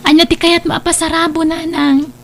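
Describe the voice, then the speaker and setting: A very high-pitched, cheerful schoolchild voiced by a woman with an Ilocano accent. The delivery is affectionate audio drama acting. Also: flat delivery, normal speed. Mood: cheerful, fond. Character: cheerful, affectionate schoolchild taking leave of mother.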